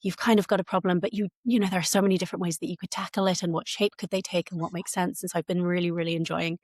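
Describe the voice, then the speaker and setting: A woman co-hosting a tech podcast, talking in a friendly, upbeat and lively way. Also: on the low side, racing, no echo, clean studio sound. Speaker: podcast co-host. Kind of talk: casual podcast chat.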